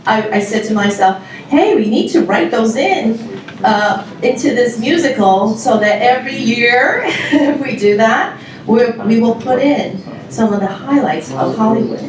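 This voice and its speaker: A woman welcomes a live audience, chatty and giggly, often pausing on fillers. Her voice sits a little high. She is heard from a distance in a very echoey hall. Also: expressive, a little hiss or hum. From Taiwan. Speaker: music club organizer presenting a show. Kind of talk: informal welcome speech to an audience. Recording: very echoey hall, mic far off.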